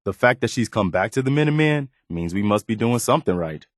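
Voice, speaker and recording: Game companion role, male actor: loyal militia man of principle, plain-spoken and earnest, lowish pitch, very dry studio sound. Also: lively intonation, dry recording, close to an everyday voice. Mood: serious, resolved. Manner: sincere, plain-spoken, measured. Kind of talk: video game companion dialogue.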